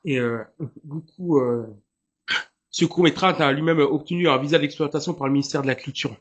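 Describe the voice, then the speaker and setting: A man, an actor and director, describing his work as an interview guest, speaking at a measured rate with fillers. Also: fairly high pitch. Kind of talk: casual interview chat.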